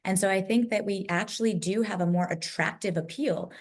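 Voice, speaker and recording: A woman with a low voice, moderating a virtual panel discussion over a video call, emphatic and fond of building lists. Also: brisk. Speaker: summit moderator.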